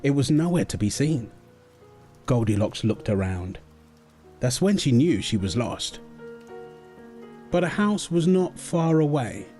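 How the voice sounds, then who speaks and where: A man playing a gentle young dad getting his baby girl to sleep. His voice is mellow and soft, with a lively, swooping melody that turns playful and coaxing. It has the intimate feel of a comfort roleplay and storybook reading. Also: mid-range voice, a little slow, pauses often, soft background music. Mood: storytelling. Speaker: audio roleplay performer.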